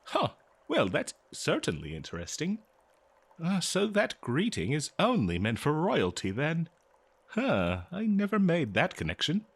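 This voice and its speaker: A man playing a courteous, eager and nervous scholar in a fantasy audio roleplay, speaking politely and haltingly to the listener. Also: a little high, wildly swooping pitch, breathy edge, full character voice. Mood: surprised, apologetic. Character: eager, nervous, courteous male human professor of ancient civilizations.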